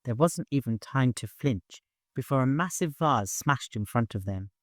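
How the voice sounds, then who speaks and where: A man's voice: medium pitch, lively intonation, moderate pace, dry recording. Male narrator, mid-range voice, dramatic scripted storytelling, dry studio-like sound. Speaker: audiobook narrator.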